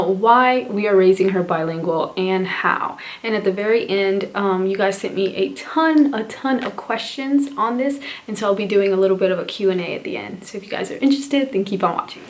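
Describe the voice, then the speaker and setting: A young woman vlogger, a native Spanish speaker, chatting casually to camera with many fillers and frequent small laughs. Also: medium pitch. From El Salvador.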